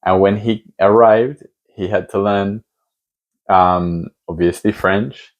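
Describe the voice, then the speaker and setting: A man's voice: lowish voice, fairly even intonation, takes it slowly, lo-fi sound. A man hosting a podcast, asking long, thoughtful hypothetical questions in a casual conversation. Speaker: podcast host.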